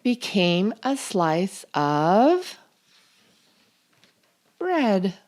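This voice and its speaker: A woman reading a children's picture book aloud as a storytime host, expressive and deliberate, drawing out key words. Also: medium pitch. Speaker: storytime reader and channel host.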